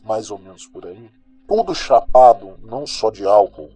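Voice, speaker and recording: A man's voice: on the low side, big pitch swings, moderate pace, warm tone, muffled recording. A Brazilian man playing a cynical, gossipy small-town crime news host in an audio drama, talking in a slangy, sardonic, colloquial way. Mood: sardonic, animated. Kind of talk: audio drama monologue as chatty radio host. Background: music.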